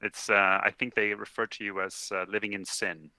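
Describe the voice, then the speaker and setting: A man's voice: lowish voice, flat delivery, moderate pace, bright, forceful tone, no echo. A man chatting casually while pair programming, laconic and wry in his remarks. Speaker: programmer co-hosting a live coding session.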